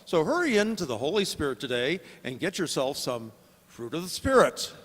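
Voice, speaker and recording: An actor playing an earnest radio sponsor announcer, delivering an emphatic mock commercial in an amateur play. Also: male voice, fairly high pitch, very animated, normal speed, a bit bright. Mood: earnest, salesmanlike. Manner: salesmanlike, emphatic. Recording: echoey reverberant hall.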